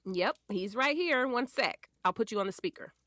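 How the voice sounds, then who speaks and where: Lively, sassy, doting mother played by an actress. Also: fairly high pitch, moderate pace, no room sound, clean studio sound. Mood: affectionate. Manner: sassy, teasing. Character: playful, firm, doting wife and mother of forty-one. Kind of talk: naturalistic audio drama acting.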